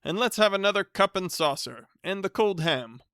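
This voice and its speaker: A man voicing a loud, hearty middle-aged visitor who repeats himself. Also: high-pitched, animated, normal speed, no room sound, clean studio sound, pitched above the performer's own voice. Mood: boisterous.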